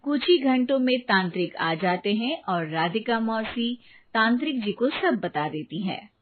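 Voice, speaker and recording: A woman's voice: a little high, animated, unhurried. A woman narrating a scripted story as a storyteller, on a tinny recording. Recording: tinny phone-like sound with faint noise.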